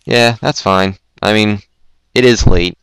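Rambling young man, smooth low voice. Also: unhurried, a bit bright, no echo. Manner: rambling, self-deprecating, stumbling restarts. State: throat going hoarse. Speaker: podcast host. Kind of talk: casual chat on a Skype call.

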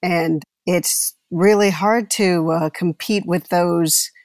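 A woman's voice: fairly low pitch, fairly even intonation, takes it slowly, a little mellow, dry recording, studio quality. A woman speaking as an actor guest in reflective, casual conversation.

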